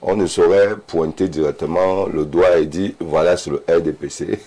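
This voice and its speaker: A man's voice: low voice, steady tone, moderate pace, mellow. A man, a guest speaking emphatically in a studio debate, often repeating words as he starts. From Cameroon. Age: 50s. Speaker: panel guest.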